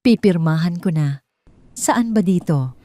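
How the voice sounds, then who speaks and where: A woman's voice: fairly low pitch, lively intonation, unhurried, mellow. A woman voicing a fearful, suspicious husband and businessman, urgent and doubting, in an audio drama.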